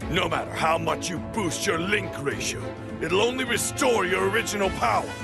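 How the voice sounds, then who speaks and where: An actor voicing a proud, impatient, gruff warrior in a video game cutscene. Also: male voice, sits high, moderate pace, over loud music. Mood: scornful. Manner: gruff, curt, scornful. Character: proud, impatient, combative adult male warrior.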